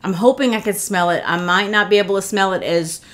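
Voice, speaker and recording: A woman's voice: medium pitch, a little fast. A middle-aged woman chatting casually while unboxing a gift, a bit congested from a cold, with lots of fillers and heartfelt thanks, recorded seated in her living room. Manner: chatty, warm thanks, many fillers and restarts. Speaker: vlogger.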